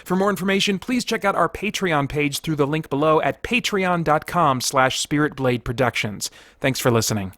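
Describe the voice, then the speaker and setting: A man's voice: a little high, normal speed, few pauses, fairly bright tone, no echo. A man delivering a scripted promotional message as a host, asking listeners for their support.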